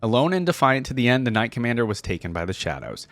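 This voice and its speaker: A man hosting a reaction, moving between casual commentary and expressive reading of fantasy stories aloud. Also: mid-range voice, fast talker, no room sound. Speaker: online reaction host.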